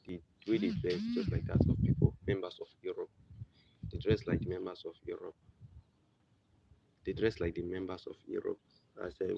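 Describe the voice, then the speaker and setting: Gravelly low Nigerian man, muffled. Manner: rambling, repeats and restarts, conversational asides. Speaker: video presenter. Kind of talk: casual explainer monologue. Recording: muffled lo-fi recording with background noise.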